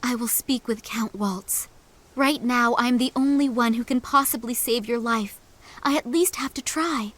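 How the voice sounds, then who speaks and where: An actress playing a young princess in a game cutscene, speaking softly and sincerely. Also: female voice, fairly high pitch, unhurried. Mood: determined. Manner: soft, sincere. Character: young princess, gentle and determined.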